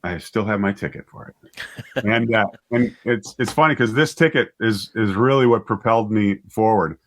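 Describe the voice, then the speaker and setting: Low-voiced man, halting storyteller. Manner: halting, with repeated words and self-corrections. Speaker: writer and director, interview guest. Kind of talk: conversational interview storytelling.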